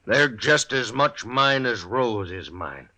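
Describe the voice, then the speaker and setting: An actor playing a vengeful, possessive frontier husband with grim, rustic speech in a western radio drama. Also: male voice, mid-range voice, animated, slow pace, sharp tone, heavily acted. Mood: possessive, menacing.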